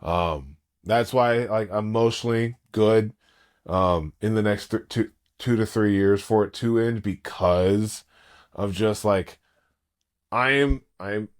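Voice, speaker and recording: A man in his late thirties chatting casually as a podcast co-host, an animated and gushing fan. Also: on the low side, slow pace. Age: 30s.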